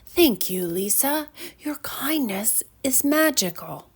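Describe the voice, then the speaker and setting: Tiny grateful fairy played by a female narrator, high and musical, gentle children's storybook delivery, lilting and unhurried. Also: very animated, slightly rough, heavily acted. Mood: softly grateful. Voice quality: soft, musical. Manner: gentle, earnest, storybook phrasing.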